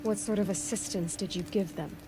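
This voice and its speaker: Under loud music, a woman acts out a young game heroine. She is headstrong and blunt, questioning everything with dry sarcasm. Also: medium pitch, steady tone, brisk, lightly acted, poor audio. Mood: questioning, wary. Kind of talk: dramatic video game acting.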